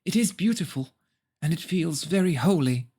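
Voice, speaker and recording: A man's voice: fairly high pitch, expressive, normal speed, warm tone, slightly rough. A man voicing a grateful, wholesome young boy who speaks in a polite, wide-eyed, solemn way. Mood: happy, grave.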